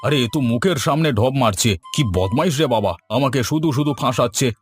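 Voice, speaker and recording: A man's voice: medium pitch, lively intonation, fast, natural voice. Man voicing a hearty village shopkeeper. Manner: hearty, hawking, eager to please. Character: cheerful village biryani shop owner, husband. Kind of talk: Bengali cartoon voice acting. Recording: background music.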